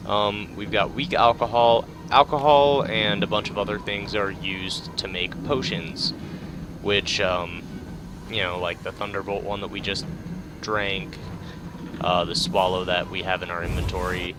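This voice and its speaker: Low-voiced laid-back man commentating a game. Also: level tone, a little slow, very noisy. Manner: hesitant, laid-back, rambling. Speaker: game player commentating a playthrough. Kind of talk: casual game commentary, partly reading on-screen tips. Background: game music.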